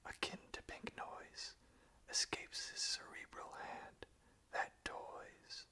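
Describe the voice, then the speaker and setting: An ASMR host of unclear sex speaking softly and deliberately in a breathy, unvoiced whisper. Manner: soft, slow, deliberate. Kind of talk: whispered ASMR, slow reading and soft chat.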